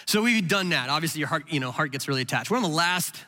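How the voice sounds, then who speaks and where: A man's voice: fairly high pitch, lively intonation, quick pace, pushed and bright. A middle-aged man, a pastor, tells a story in a sermon, chatting casually and often restarting his sentences. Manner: conversational, rambling with restarts. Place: large hall with audience. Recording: long hall reverb.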